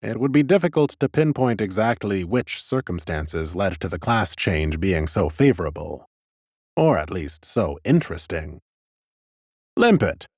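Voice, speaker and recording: An ancient male lich, acted by a man, narrating a fantasy audiobook. He is low-voiced, precise and self-important, with lively swoops of pitch as he reasons like a curious scholar. The sound is close and dry. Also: measured pace, no room sound, full character voice. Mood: brisk, inquisitive. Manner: precise, pompous, musing. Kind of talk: first-person audiobook character narration.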